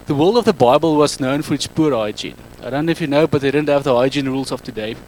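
A man with an Afrikaans accent addresses a live audience on a handheld mic. His English is halting, and he often corrects himself and pauses on an um. His voice sits at a middle pitch, with a little faint noise behind it. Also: darkish tone, rough recording. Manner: halting, self-correcting non-native English with frequent ums. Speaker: speaker at an evening talk. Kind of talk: public talk to a live audience.

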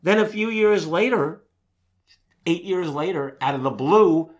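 A man co-hosting a casual chat show, telling a story in an animated way. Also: high-pitched, measured pace. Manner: storytelling, animated when excited. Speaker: podcast co-host.

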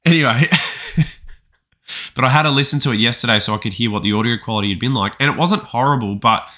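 A young man with a deep, bassy voice, co-hosting a podcast in casual chat with lots of restarts. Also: brisk, pushed and bright. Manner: rambling, many restarts and fillers. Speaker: podcast co-host.

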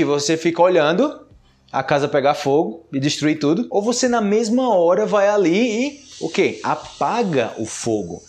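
A man from Brazil with a Brazilian Portuguese accent hosting a casual solo podcast, talking conversationally and growing animated when he acts out what people say. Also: fairly high pitch. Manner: animated, rises sharply when acting out quoted remarks; conversational, with frequent tag questions. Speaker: podcast host teaching Portuguese.

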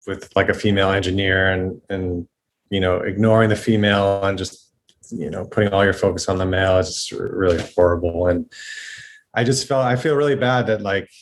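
A young man speaking as a podcast guest, hesitant and halting, with many restarts as he apologizes. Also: low voice. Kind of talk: apologetic conversation.

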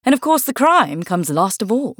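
A woman's voice: mid-range voice, sing-song, normal speed, no room sound, studio quality. A woman voicing a kindly, muddle-headed storybook queen in an airy, matter-of-fact way. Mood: airy, careless.

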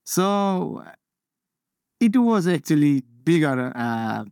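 A man from Nepal, a mountaineer, answering interview questions in a casual, halting way with many fillers and restarts. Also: on the high side, sing-song, creaky, darkish tone, smooth, dry recording, clean studio sound.

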